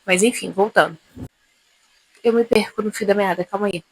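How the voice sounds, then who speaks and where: A woman's voice: mid-range voice, steady tone, normal speed, slightly rough, no room sound, poor audio. A woman in her twenties, talking casually to camera in a rambling, confessional way, often losing her thread. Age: 20s. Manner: rambling, self-interrupting, chatty. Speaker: vlogger.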